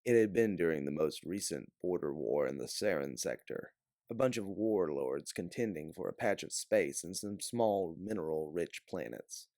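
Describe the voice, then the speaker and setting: A man with a deep, gravelly voice reads a sci-fi adventure story. His delivery is theatrical and measured, with lively swings in pitch. The recording is close and dry. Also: no room sound. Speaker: audiobook narrator. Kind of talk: dramatic second-person sci-fi story narration.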